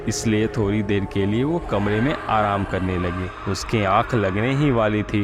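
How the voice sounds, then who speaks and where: Male narrator, lowish pitch, scripted horror storytelling, music behind.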